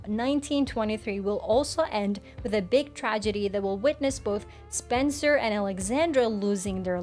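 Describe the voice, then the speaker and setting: A woman narrates an entertainment news video from a script. Her voice sits a little above average in pitch. She speaks directly to viewers and puts questions to them, with soft music underneath.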